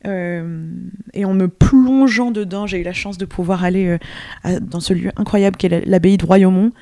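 Young French woman, opera singer, thoughtful, halting delivery, dry close sound. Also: medium pitch, fairly even intonation, warm tone, dry recording. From France. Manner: thoughtful, many hesitations and restarts. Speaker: opera singer, mezzo-soprano. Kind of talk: radio interview.

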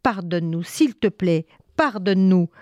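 A woman's voice: lowish voice, animated, moderate pace, a little mellow, no echo, natural voice. A woman storyteller voicing an eager, restless young peasant son who exclaims and pleads. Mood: pleading.

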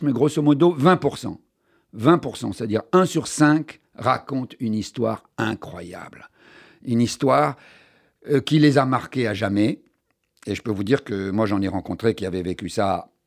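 An older man talks as an interview guest. He tells his story with animated emphasis and often restarts his sentences mid-thought. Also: medium pitch. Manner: animated storyteller, many restarts, stressed key words. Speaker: author and journalist guest. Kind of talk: conversational interview.

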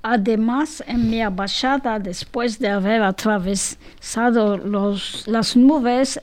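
A woman reading a translation of a literary text aloud, slowly and carefully, with small restarts. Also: a little high, a little mellow.

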